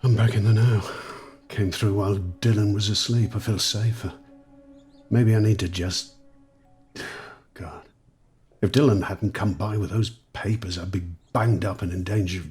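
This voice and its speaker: An actor playing a cynical, scheming gambling addict in an audio drama, sweary and sardonic, with a husky voice. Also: male voice, on the low side, fairly even intonation, a little slow, pauses often, darkish tone, faint music behind, natural voice. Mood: agitated, brooding. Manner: muttering to himself, sweary, sardonic. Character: troubled gambling addict, cynical, scheming, self-doubting man. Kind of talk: naturalistic audio drama acting, part soliloquy.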